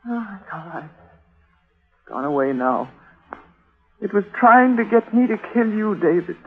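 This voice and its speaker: An actress playing a fragile, haunted young woman under a hypnotic spell in a 1940s radio mystery, melodramatic and breathy. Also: mid-range voice, lively intonation, a little slow, close to an everyday voice. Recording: tinny old radio recording with music.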